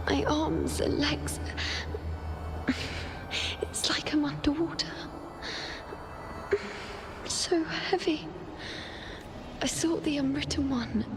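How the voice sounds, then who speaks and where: An actress playing a young priestess and prophet in a video game, speaking in a breathy, halting way. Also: female voice, high voice. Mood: dazed, weak. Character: young priestess and prophet, dazed yet steadfast. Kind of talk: dramatic game dialogue. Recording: ambient noise behind the voice.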